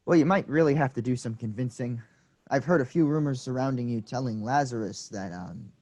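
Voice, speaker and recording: An actor playing an eager, deferential disciple who earnestly prods and flatters his boss in a comic play reading. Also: medium pitch, a little fast, lightly acted.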